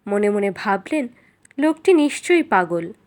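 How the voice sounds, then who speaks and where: A woman's voice: medium pitch, big pitch swings, normal speed, darkish tone. A woman narrator reading a scripted fairy tale for children.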